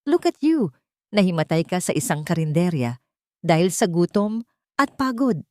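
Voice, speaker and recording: A woman's voice: lowish voice, very animated, moderate pace, warm tone, no room sound, clean studio sound. Woman voicing callous, condescending rich husband. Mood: contemptuous, impatient. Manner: condescending, blunt. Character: callous, impatient rich husband, coldly bargaining.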